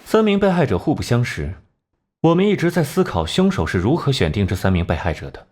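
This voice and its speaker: A man playing a composed, sharp-tongued genius detective in an animated crime drama, measured and condescending. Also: medium pitch. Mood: coolly explaining.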